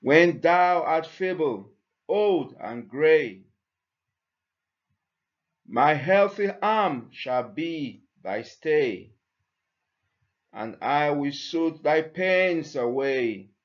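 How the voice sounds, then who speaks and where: A man speaks extremely slowly and deliberately, reciting in a poetry-reading style. His phrases are measured and set apart by long pauses. The recording is dull and a little muffled. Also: a little high, expressive. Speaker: church member reading a poem.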